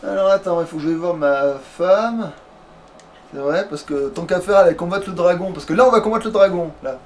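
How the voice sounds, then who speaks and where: A man with a high-pitched voice chats casually while hosting a gaming session. He rambles and jokes crudely, with some noise and game ambience behind him. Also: a little fast. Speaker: let's play host.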